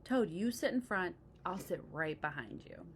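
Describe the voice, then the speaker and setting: A woman voicing a cheerful, eager male frog in a storybook, encouraging his friend with bright exclamations. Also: fairly low pitch, lively intonation, normal speed, a little hiss or hum.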